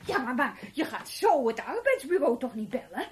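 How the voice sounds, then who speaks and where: A woman acting in a Dutch radio play as a flustered, excitable housewife who chatters, stammers and fusses. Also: high-pitched, moderate pace. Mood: fussing. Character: flustered, chattering, fussy housewife defending herself to husband.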